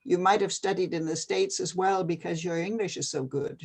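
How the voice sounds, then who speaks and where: An older person from Canada, talking casually and hesitantly with many pauses and fillers. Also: medium pitch, breathy edge. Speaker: retired NGO advisor. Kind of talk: casual video-call conversation.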